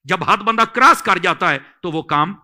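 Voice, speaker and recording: A man's voice: sits high, lively intonation, normal speed, bright, forceful tone. A man, a religious scholar giving an emphatic, exhorting admonition and repeating phrases for stress. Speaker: religious scholar (mufti) answering questions.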